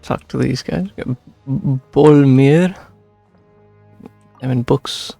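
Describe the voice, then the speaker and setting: A man with a gravelly, husky voice giving casual game commentary and reading aloud as a streamer. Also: mid-range voice, slow, mellow, no room sound. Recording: dry close mic, game music behind.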